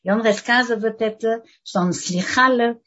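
An older woman whose first language is French, teaching a religious lesson and often pausing to search for words. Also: a little high, fairly bright tone, lo-fi sound.

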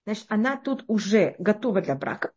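A middle-aged woman teaching a religious text class, explaining with lively rhetorical questions and frequent restarts. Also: mid-range voice, brisk, breathy edge, muffled recording. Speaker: Torah teacher.

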